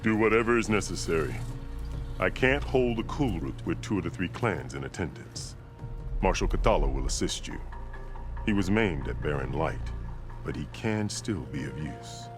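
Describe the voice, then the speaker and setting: Scarred old-world warrior chief in a game, super deep voice, solemn speechy delivery, music behind. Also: male voice, animated, moderate pace. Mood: commanding, grave. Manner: solemn, formal, declamatory. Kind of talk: dramatic video game dialogue. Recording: music under the dialogue.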